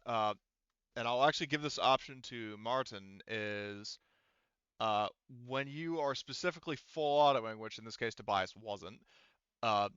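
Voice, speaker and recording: A man's voice: medium pitch, fairly bright tone, smooth, no echo, highs cut off. A man running a tabletop roleplaying game as game master, explaining rules casually and thinking aloud.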